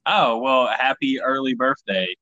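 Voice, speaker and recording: A man's voice: medium pitch, a little slow, sharp tone, no room sound, highs cut off. Wry Southern American man, dry close sound. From Tennessee. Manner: wry, sweary, acts out quoted remarks. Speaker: podcast host. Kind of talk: casual comedic chat.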